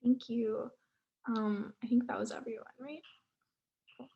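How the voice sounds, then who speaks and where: A woman's voice: a little high, steady tone, unhurried, gravelly, mellow, highs cut off. A woman moderating a panel informally, putting questions to the speakers.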